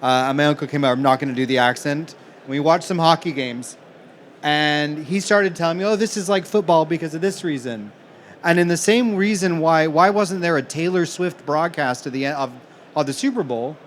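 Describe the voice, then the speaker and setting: A man's voice: on the high side, moderate pace. A man from Manchester speaking as a panelist, making his case conversationally in a live discussion. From Manchester, England, now living in the US. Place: conference event panel. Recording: live panel with clear background noise.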